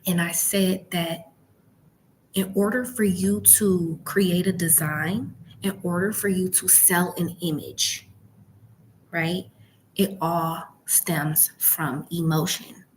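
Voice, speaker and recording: Hushed, slow-talking woman. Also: fairly low pitch, steady tone, a little hiss or hum, rough recording. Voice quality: hushed, partly whispered voice. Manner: emphatic, rhetorical questions and frequent check-ins. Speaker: YouTube branding and e-commerce coach. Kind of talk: informal coaching talk to camera. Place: library.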